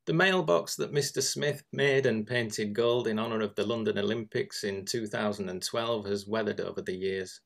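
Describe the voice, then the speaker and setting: A man's voice: fairly low pitch, normal speed, vocal fry, few pauses, fairly bright tone. A man reading short observational prose aloud from a script.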